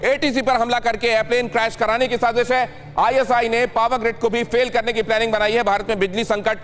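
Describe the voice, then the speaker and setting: A man's voice: extremely high pitch, flat delivery, brisk. A man with an Indian accent presenting television news dramatically and emphatically, repeating phrases for effect. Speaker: TV news anchor. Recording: loud dramatic music bed under voice. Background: news music.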